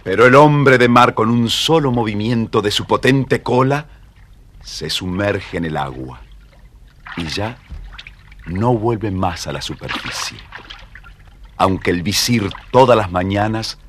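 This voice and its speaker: A man with an Argentine accent narrating a scripted children's story with expressive storyteller phrasing. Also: on the low side, slow, pauses often, slight background noise.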